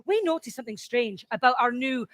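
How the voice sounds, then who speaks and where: A woman playing an excitable, bossy schoolgirl narrator, telling a children's story with theatrical emphasis. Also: a little high, fairly quick, close to an everyday voice. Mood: storytelling.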